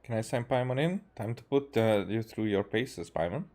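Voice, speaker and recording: A man from Hungary, a native Hungarian speaker, giving casual, halting gameplay commentary with muttered repeats. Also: lowish voice, lively intonation, noisy background. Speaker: game streamer. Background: game music.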